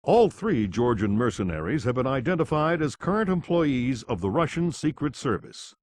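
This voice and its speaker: An actor playing a sober television news anchor, reading a scripted crisis report for a video game. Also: male voice, medium pitch, natural voice.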